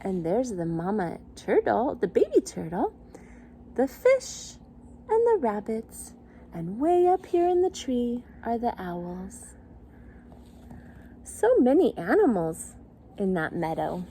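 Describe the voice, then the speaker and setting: Woman, children's teacher, high-pitched, unhurried, sing-song picture book reading, simple child-directed phrasing. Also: sing-song, dark tone, a little hiss or hum. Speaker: children's circle time teacher and story reader.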